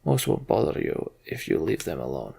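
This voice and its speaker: A man voicing a grim, centuries-old giant warrior from a fantasy game, bitter and blunt, with a gravelly, husky voice. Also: extremely low pitch, wildly swooping pitch, normal speed, mellow, voice pushed down, exaggerated voice.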